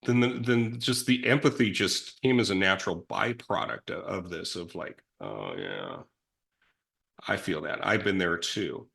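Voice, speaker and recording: A man's voice: deep voice, expressive, unhurried, gravelly. A middle-aged man in recovery telling his story in a reflective, rambling way with many restarts and self-deprecating asides. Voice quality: big loud voice. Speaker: guest in recovery.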